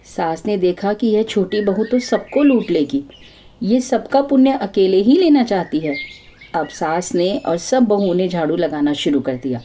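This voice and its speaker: A woman's voice: mid-range voice, expressive, a little slow, warm tone, slight background noise. A woman storyteller narrating a scripted moral folk tale.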